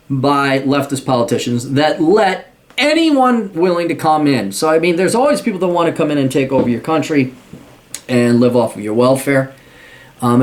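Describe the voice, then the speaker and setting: A middle-aged man hosting an advice show. He reads a listener's letter aloud, then answers it bluntly and swears freely. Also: mid-range voice. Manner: blunt and profane, with incredulous outbursts. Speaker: podcast host giving advice.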